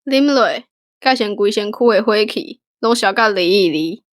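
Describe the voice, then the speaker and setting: A woman's voice: medium pitch, animated, moderate pace, lightly acted. Lady doing an eager young taiwanese adventure boy, audio drama hero. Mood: enthusiastic.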